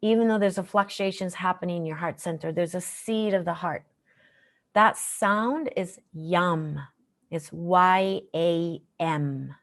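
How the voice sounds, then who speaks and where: Slow, low-pitched woman guiding meditation. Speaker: meditation teacher, session host. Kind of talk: guided heart meditation teaching, unscripted.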